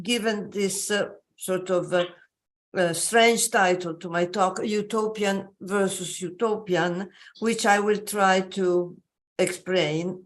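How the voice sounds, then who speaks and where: Slow, halting woman lecturing. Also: medium pitch. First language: Italian. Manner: slow, halting, many pauses and fillers. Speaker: invited speaker. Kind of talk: academic lecture. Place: joining remotely.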